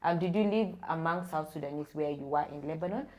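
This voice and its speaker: Woman host with a very low, mellow voice. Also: fast. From Kenya. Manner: chatty, asks follow-up questions. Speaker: podcast co-host. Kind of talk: casual podcast hosting.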